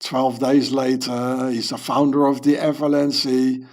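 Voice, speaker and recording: A man's voice: mid-range voice, level tone, unhurried, darkish tone. A man from South Africa who grew up speaking French at home, giving a rambling commentary to his video channel and asking rhetorical questions. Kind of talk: casual commentary monologue.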